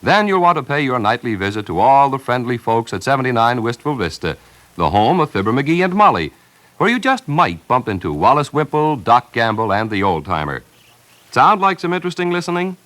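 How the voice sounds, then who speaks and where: A male American announcer reads scripted radio promos in a polished 1950s manner. His medium-pitched voice rises and falls animatedly, with a jaunty selling delivery. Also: big pitch swings, fairly quick, a bit bright.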